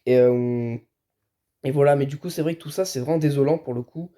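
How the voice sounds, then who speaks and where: A man's voice: mid-range voice, brisk, dark tone. A man giving his opinions in a casual, colloquial car vlog, sounding tired and a bit ill with flu. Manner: colloquial, addresses viewers as buddies. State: under the weather with a flu-like illness, tired. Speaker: car video host.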